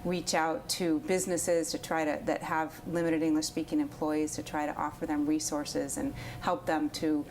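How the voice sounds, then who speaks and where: Low, flat-voiced woman director. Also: normal speed, hardly any pauses, a little hiss or hum. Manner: informative, steady, with um and uh fillers. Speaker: nonprofit executive director. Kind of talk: interview guest explaining her program.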